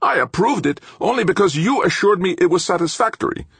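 A man's voice: sits high, sing-song, fairly quick, gravelly, fairly bright tone, husky, no echo, voice pushed up, exaggerated voice. A man voicing an icy, indignant professor in an audiobook, with clipped, formal and imperious delivery.

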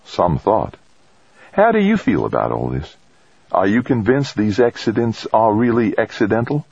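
Deep, husky, worried man, voiced by a narrator. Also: sing-song, normal speed, darkish tone, dry recording, muffled recording, full character voice. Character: worried male expedition member, earnest, guarded. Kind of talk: audiobook character dialogue.

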